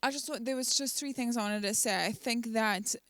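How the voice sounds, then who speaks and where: A woman from the congregation speaking earnestly and in a measured way in a church panel discussion. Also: fairly high pitch, fairly bright tone, dry recording, clean studio sound. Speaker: church member.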